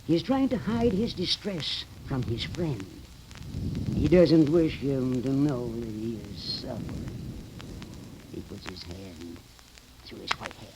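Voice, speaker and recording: Sinister professor, haughty, ominous, theatrical, slow-paced, medium pitch, noisy 1930s radio horror recording. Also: male voice, lively intonation. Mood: ominous, entranced. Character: sinister, proud male professor casting a deadly spell.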